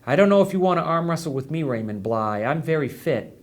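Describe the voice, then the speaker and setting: A man's voice: fairly high pitch, lively intonation, fairly quick, voice pushed up, lightly acted. A man voicing a playful woman school nurse in an audiobook, coaxing and teasing with mock seriousness. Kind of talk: acted dialogue in an audiobook reading.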